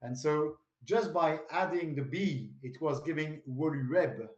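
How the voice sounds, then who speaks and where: A man's voice: on the high side, unhurried, a little mellow, reverberant, highs cut off. A man from Belgium, a native French speaker with a Belgian French accent, chatting hesitantly with many fillers as a guest on a video call. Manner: hesitant, lots of fillers while sharing screen. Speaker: web developer guest. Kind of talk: casual video-call interview.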